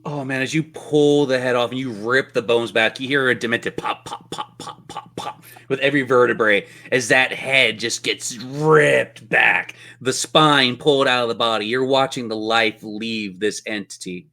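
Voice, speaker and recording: A man's voice: medium pitch, moderate pace, few pauses, sharp tone. A man narrating a tabletop fantasy game as game master, with vivid, playful descriptions.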